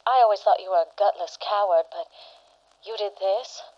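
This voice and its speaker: A woman's voice: medium pitch, normal speed, darkish tone, natural voice. A woman playing a scornful, unimpressed girlfriend in a comic-book radio play, blunt and disdainful. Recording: dull, telephone-like lo-fi radio sound.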